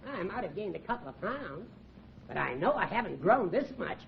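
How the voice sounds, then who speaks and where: A man's voice: sits high, sing-song, moderate pace, creaky, full character voice. An actor playing a fussy, indignant older male judge in a 1940s radio comedy. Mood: grumbling, baffled. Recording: muffled, noisy old radio recording.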